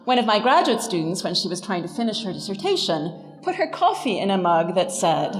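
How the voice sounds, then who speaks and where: A woman's voice: medium pitch, very animated, a little fast. A middle-aged woman giving a scripted lecture as a professor, articulate, emphatic and wryly humorous. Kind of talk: scripted lecture with dry humour. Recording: long hall reverb, faint music behind.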